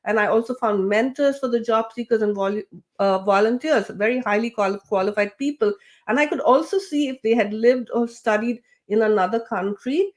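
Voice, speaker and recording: A woman's voice: on the high side, moderate pace. A woman guest, a LinkedIn trainer and coach, talking casually in an interview with many fillers and self-corrections. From grew up with British English. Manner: chatty, many fillers and restarts.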